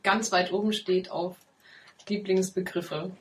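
Young woman with a lowish voice chatting in an easygoing, slightly hesitant way, pausing on fillers. A faint hiss sits behind her. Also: steady tone, poor audio. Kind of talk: casual chat.

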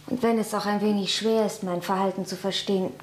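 An actress playing a quiet, determined young woman in a radio play, speaking in a subdued, earnest way. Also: mid-range voice, fairly even intonation, a little fast, lightly acted. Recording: echoey room with faint hiss.

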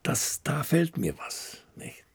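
An older man, a writer being interviewed, talking haltingly as he searches for words and often adding little tag questions. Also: fairly high pitch, big pitch swings, creaky, slightly rough, no room sound. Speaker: radio play writer as interview guest. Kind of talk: unscripted interview chat.

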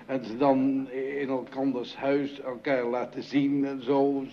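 An actor playing a bumbling, curious husband who is eager, hesitant and easily muddled, in a radio comedy. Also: male voice, mid-range voice, little pitch movement, unhurried, natural voice. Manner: muddled, hesitant, wryly naive. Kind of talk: Dutch radio comedy drama acting. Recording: dull old radio recording.

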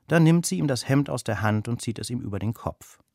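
A man's voice: medium pitch, animated, a little fast, creaky, a little mellow, dry recording, studio quality. A man narrating a children's story in a scripted storybook style.

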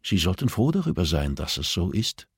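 A man's voice: low voice, very animated, fairly quick, breathy edge, dry recording, heavily acted. A man voicing a cool, shrewd, condescending male prisoner with a dry, understated delivery, as audiobook dialogue. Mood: cool, pointed.

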